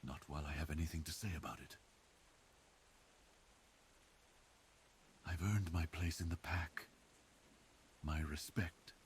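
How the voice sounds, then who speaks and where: A man playing a devoted, protective werewolf boyfriend in an intimate ASMR roleplay, speaking earnestly and deliberately to reassure his partner. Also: a bass voice, steady tone, slight background noise. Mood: reassuring, firm.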